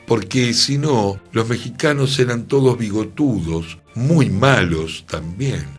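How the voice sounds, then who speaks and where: Un hombre con acento rioplatense que opina en la radio de manera enfática y reflexiva, con preguntas retóricas. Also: male voice, medium pitch, takes it slowly, husky, faint music behind. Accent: Argentine Rioplatense Spanish. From Argentina. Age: middle-aged or older. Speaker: radio host. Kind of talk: opinionated radio commentary.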